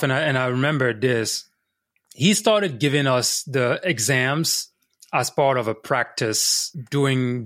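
A man from Cameroon, a guest on a podcast, telling a personal story in an unhurried, thoughtful way. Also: medium pitch, no echo, clean studio sound. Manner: unhurried, thoughtful, frequent restarts. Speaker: podcast guest, life coach. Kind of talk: casual interview storytelling.

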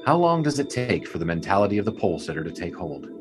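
A man's voice: low-pitched, very animated, fast talker, music in the background. A man playing an inquisitive 1920s sports writer in an audio drama, reflective and literary in his narration and questions. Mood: curious, reflective.

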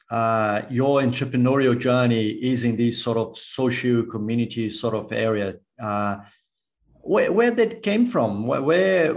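A man from Brazil hosting an interview, often repeating words as he rephrases his questions. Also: medium pitch. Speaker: interview host. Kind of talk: conversational interview.

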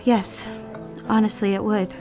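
A woman's voice: mid-range voice, flat delivery, measured pace, over music, close to an everyday voice. A woman playing an anxious, proper young woman who is cautious but placating, in an audio drama. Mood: guarded, uneasy.

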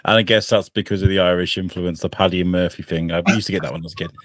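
A man's voice: sits low, steady tone, very fast, dry recording. A man from the UK hosting a casual podcast chat, playful and laughing often. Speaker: podcast host. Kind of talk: casual video-call chat.

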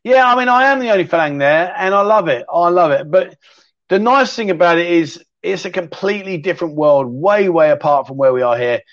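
A man's voice: high voice, lively intonation, brisk, dry recording, highs cut off. Chatty man hosting a livestream. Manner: chatty, rambling, matey. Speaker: livestream chat show host. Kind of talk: casual livestream chat reading viewer questions.

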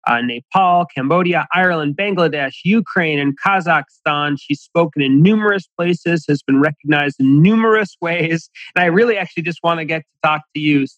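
A man's voice: on the high side, normal speed, hardly any pauses, a bit bright, no echo, lo-fi sound. A man hosting a podcast interview, friendly and a little hesitant, often restarting his sentences. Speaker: podcast host.

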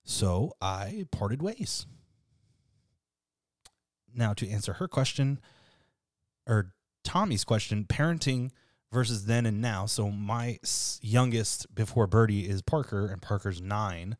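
A man in his thirties with a deep voice, hosting a casual podcast chat in a relaxed, freely swearing way. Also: takes it slowly, long pauses, dry recording, studio quality. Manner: laid-back, swears freely, laughs often. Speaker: podcast host.

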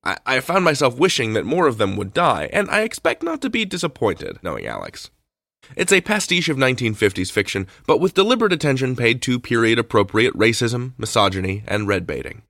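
A man hosting a podcast, introducing shows in a conversational, playful, animated way. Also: mid-range voice, fairly quick, vocal fry, no echo, studio quality. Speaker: podcast host.

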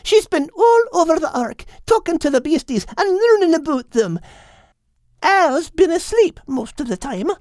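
Friendly little seagull for a children's audiobook, very high, animated, caring. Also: male voice, moderate pace, no echo, clean studio sound. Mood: helpful. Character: friendly, caring Scottish seagull, small storybook bird.

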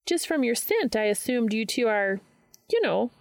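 A woman's voice: fairly high pitch, huge pitch swings, normal speed, voice pushed up. A woman voicing a cheery, sweet, folksy woman with a Minnesota accent in an audiobook story. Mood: hesitant. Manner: folksy, pleasant.

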